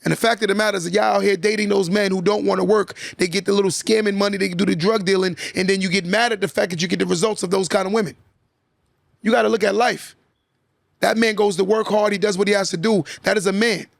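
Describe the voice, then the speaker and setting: A male podcast host with a very gravelly voice who talks fast and presses his points hard. He hammers home each line by repeating it, and the sound is close and dry. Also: high-pitched, fairly even intonation, no echo. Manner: emphatic, lecturing, repeats key lines. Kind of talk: casual podcast talk, motivational monologue.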